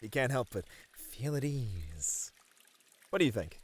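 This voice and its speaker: A man's voice: mid-range voice, sing-song, unhurried, husky. A man playing a friendly, easily flustered bunny man in an ASMR roleplay, earnest and a little hesitant. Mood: relaxed. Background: pouring, trickling water.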